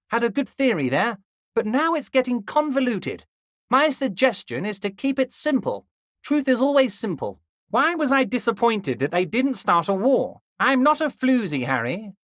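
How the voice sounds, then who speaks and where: A man playing a smug, wily old union boss in a video game, folksy and insinuating, with mock modesty and drawn-out emphasis. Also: very high voice, a bit bright, dry recording, clean studio sound, exaggerated voice. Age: older. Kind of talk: video game character dialogue.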